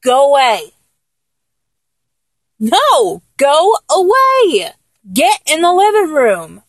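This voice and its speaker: High-pitched, exasperated girlfriend, acted by a woman. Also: animated, slow, breathy edge, dry recording, heavily acted. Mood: irritated. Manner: exasperated, teasing, whiny, with sighs and giggles. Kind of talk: intimate adult audio roleplay, one-sided dialogue.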